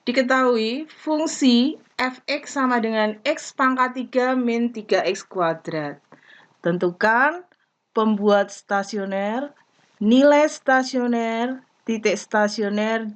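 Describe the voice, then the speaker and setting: A woman giving a math lesson, explaining step by step in short, deliberate phrases. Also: fairly high pitch. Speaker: math teacher. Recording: dull lo-fi recording.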